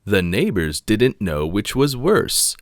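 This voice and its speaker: A man reading a children's picture book aloud in an expressive narrating style. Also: fairly low pitch, slow pace, no room sound, studio quality. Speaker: storybook reader.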